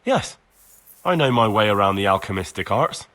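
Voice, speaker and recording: A man's voice: on the low side, very animated, moderate pace, a bit bright. An actor playing an earnest, plain-spoken young medieval commoner in a video game, direct in his manner. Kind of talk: video game dialogue acting. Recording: music clearly behind the voice.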